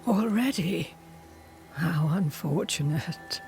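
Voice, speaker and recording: A woman's voice: lowish voice, lively intonation, over music. An actress playing a dying old woman, a cryptic seer in a game cutscene, solemn, weary and whispery. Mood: wry, resigned. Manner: slow, cryptic, whispery pronouncements. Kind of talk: dramatic game cutscene acting.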